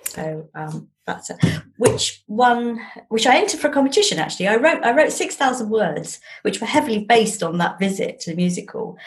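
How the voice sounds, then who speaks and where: A woman's voice: on the high side, sing-song, moderate pace. An English woman, an author being interviewed over a video call, telling anecdotes in a chatty, discursive and self-deprecating way.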